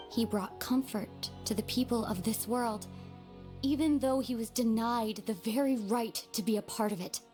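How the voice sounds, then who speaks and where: A woman playing a grave, sorrowful young sister in a video game, speaking in a measured, solemn way hardened by long war. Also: a little high, lightly acted. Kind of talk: dramatic video game voice acting. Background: game music.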